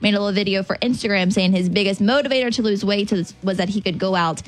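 A woman's voice: mid-range voice, fast. A woman hosting a radio show, chatting casually and telling entertainment news stories. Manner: chatty, animated storyteller. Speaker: radio host. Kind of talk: casual morning radio chat.

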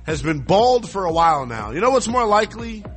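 A man's voice: high-pitched, brisk, faint music behind. A man hosting a sports talk radio show from a studio, joking and boasting in playful banter. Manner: jokey, boastful, animated.

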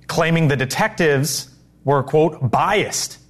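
Guy anchoring the news, a bit high-pitched, brisk and crisp. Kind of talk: news reading.

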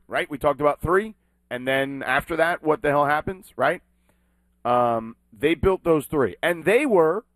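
A man hosting a sports talk show, talking with emphatic, animated delivery. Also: fairly high pitch, dry recording. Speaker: sports talk radio host. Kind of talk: sports talk and live read ad.

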